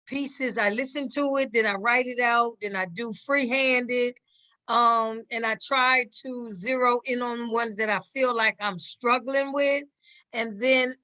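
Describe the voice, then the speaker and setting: Woman, interview guest, bright sharp tone, raised pitch, conversational. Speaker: play cast member, guest.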